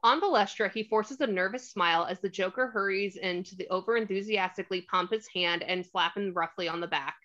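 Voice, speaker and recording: A woman's voice: mid-range voice, a little fast, few pauses, bright, forceful tone. A woman reading scripted stage directions as narrator of a screenplay table read, on a slightly dull microphone.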